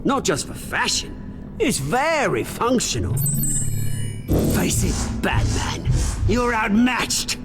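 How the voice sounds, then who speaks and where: A cocky British gangster villain from a video game. His voice is high for a man and swings widely as he sneers and taunts, foul-mouthed and theatrical. Loud music plays underneath. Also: wildly swooping pitch, slow pace, long pauses, exaggerated voice. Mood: smug, taunting.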